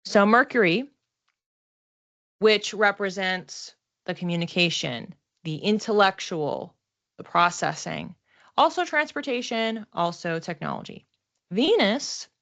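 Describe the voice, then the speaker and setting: A woman's voice: mid-range voice, a bit bright. A woman teaching a class in an informal, conversational way, explaining point by point and often setting out short listed phrases. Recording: slightly dull, lo-fi audio.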